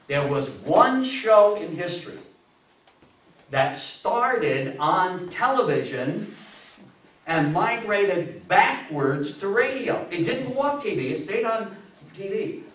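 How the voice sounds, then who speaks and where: An American man in his sixties giving an informal, animated talk to an audience, repeating phrases for emphasis. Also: high voice. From United States. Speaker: public speaker on radio history. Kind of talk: informal live lecture to an audience. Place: small meeting room, indoors. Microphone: far camera microphone. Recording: roomy, noisy, distant camera microphone.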